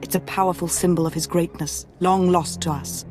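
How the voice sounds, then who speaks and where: Low-voiced zealous Sith cultist played by a woman. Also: steady tone, moderate pace, a little mellow, soft background music, natural voice. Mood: reverent, solemn. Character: zealous female Sith cultist, reverent and obsessive. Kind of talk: video game cutscene acting.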